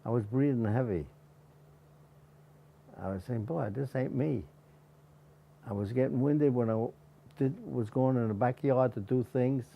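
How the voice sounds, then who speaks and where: A man in his nineties telling his own story in a halting, plain way for an interview. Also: medium pitch, vocal fry, dull audio, a little hiss or hum. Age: 90s. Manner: plain, halting, with restarts and small laughs. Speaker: interviewee, patient.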